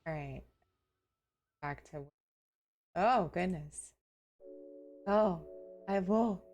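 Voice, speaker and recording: A woman's voice: lowish voice, extremely slow, a little mellow, highs cut off, slight background noise. A woman streaming a game, chatting casually with her viewers in a bubbly, giggly, self-deprecating way, sounding tired.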